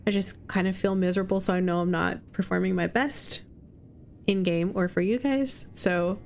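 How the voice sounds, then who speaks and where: A woman's voice: lowish voice, fairly even intonation, moderate pace, slight background noise. A woman streaming a video game while sick and losing her voice, who chats with viewers and comments on her own plays. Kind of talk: casual live-stream chat while gaming. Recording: loud game music behind voice.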